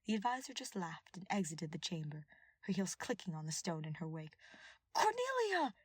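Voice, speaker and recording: A woman reading scripted fiction aloud as an expressive audiobook narrator. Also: sits low, brisk, husky, no room sound, clean studio sound.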